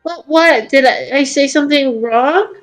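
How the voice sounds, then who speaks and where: A woman's voice: high voice, unhurried, faint music behind, pitched above the performer's own voice. A woman voicing a feisty young lioness princess, defiant, in a comedic fan fiction. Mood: anxious.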